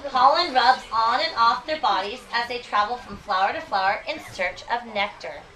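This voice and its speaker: Elementary teacher, female, higher-pitched and bright. Expressive read-aloud with questions to pupils, distant mic in a noisy classroom. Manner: expressive, deliberate reading, checking understanding with questions. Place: school classroom.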